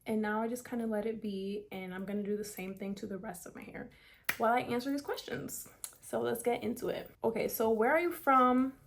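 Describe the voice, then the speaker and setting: A woman's voice: on the high side, steady tone, fairly quick, noisy background. Chatty young woman vlogger. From South Florida. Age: 20s. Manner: chatty, upbeat, lots of "like" and "kinda". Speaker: beauty vlogger. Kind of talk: casual hair tutorial vlog.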